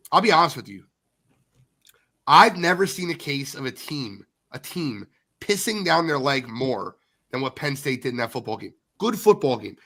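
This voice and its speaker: A man hosts a sports podcast with a bright, forceful tone. He's congested from a cold but still blunt and opinionated, calling things as he sees them. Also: medium pitch. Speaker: podcast host.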